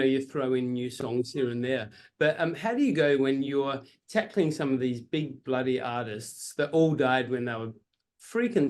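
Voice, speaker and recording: A man's voice: medium pitch, a little mellow. An Australian man hosting an interview, casual and enthusiastic, full of hype for the show. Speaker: radio host. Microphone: video call.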